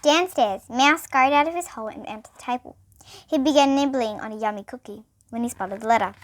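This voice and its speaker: A woman's voice: on the high side, a little fast. A young girl reading a children's bedtime story aloud, expressively and with small stumbles. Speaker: storybook reader.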